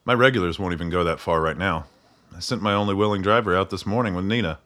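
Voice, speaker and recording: Radio drama: man playing practical barman fixer, low voice, brisk delivery, no fuss. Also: a bit bright. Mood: matter-of-fact. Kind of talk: radio play dialogue.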